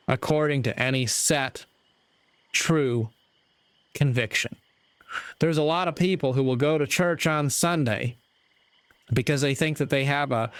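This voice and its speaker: A man giving a preachy opinion monologue in deliberate, halting phrases with rhetorical asides. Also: fairly high pitch, smooth, no echo. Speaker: commentator delivering a moral monologue.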